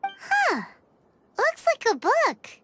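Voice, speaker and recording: Tiny fairy girl voice, super squeaky and bouncy, slow chirpy talk, loud game music under it. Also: huge pitch swings, heavily acted. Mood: curious. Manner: chirpy, talks about herself in third person. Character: tiny excitable floating fairy girl companion. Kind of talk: game voice acting. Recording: game soundtrack playing behind.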